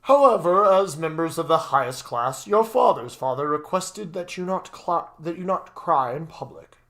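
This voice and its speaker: Story role, read by a man: loyal butler, polite and composed, formal measured delivery. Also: on the high side, lively intonation, a bit bright, voice pushed up. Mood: dutiful, calm. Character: devoted, formal male butler, calm and dutiful.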